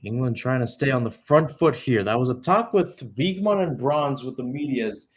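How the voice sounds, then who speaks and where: A man's voice: medium pitch, expressive, moderate pace, breathy edge. Young man calling soccer play-by-play. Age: young adult. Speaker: radio play-by-play commentator. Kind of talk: live soccer commentary.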